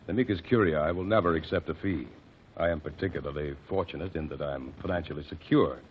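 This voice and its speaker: An actor playing a calm, methodical man who cross-examines witnesses in court, speaking formally and precisely, in 1940s radio acting. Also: rumbling low. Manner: measured, formal, precise diction. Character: calm, methodical male courtroom lawyer-detective. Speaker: amicus curiae lead. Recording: dull old radio broadcast, faint hiss.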